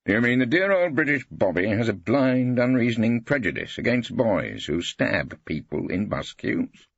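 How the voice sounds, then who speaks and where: A man's voice: mid-range voice, big pitch swings, normal speed, creaky, breathy edge, dry recording, dull audio, voice pushed up, exaggerated voice. A man voicing an irreverent, ageing English barrister in an audiobook, pompous, ironic and mock-incredulous.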